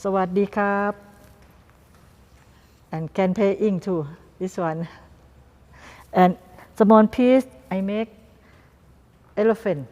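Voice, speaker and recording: A woman from Thailand with a Thai accent, a guest artist answering interview questions in halting, simple non-native English and repeating words. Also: mid-range voice, mellow. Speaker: guest artist and doll maker. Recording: reverberant room.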